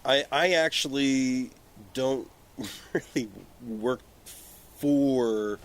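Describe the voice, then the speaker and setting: A man's voice: mid-range voice, very slow. A man talking candidly and a little ramblingly as a podcast guest on a porch, with casual swearing. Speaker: guest, independent filmmaker and business owner. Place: outdoors on a porch. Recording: background ambience outdoors on a porch.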